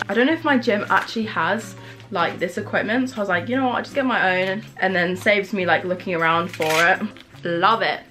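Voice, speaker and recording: A young woman chatting casually to camera at home, bubbly and gushing, with lots of "like". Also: mid-range voice. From England. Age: young adult. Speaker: lifestyle vlogger. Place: small home bedroom and kitchen.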